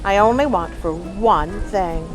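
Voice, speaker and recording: A woman's voice: mid-range voice, slow pace, music in the background, lightly acted. Woman playing a sly, stingy folktale blacksmith. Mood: feigned meekness. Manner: sly, bargaining. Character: cunning stingy Irish blacksmith, scheming man in folktale.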